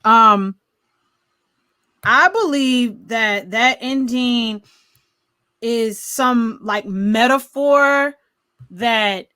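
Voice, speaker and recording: A woman co-hosting a podcast, talking through films casually in an animated, rambling, think-aloud way. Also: on the high side, drawn-out words, long pauses, no echo. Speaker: podcast co-host. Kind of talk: casual film discussion.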